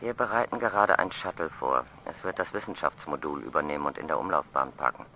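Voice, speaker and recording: Very deep calm agency official. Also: male voice, fast talker, exaggerated voice. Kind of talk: radio play acting.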